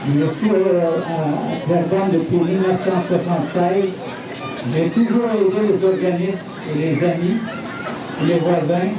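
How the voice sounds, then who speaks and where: A man's voice: high voice, steady tone, measured pace. A man from Lebanon, a municipal candidate, giving a short greeting speech with simple phrasing and Arabic greetings. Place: outdoor community festival. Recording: dull, echoey PA from far off, crowd noise.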